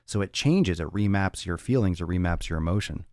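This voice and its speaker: A man's voice: low voice, lively intonation, brisk, darkish tone, no room sound. A man hosting a fitness podcast, answering listener chat in a blunt, profane, rambling way with lots of restarts. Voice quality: raspy on some days. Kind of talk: casual solo talk answering listener chat.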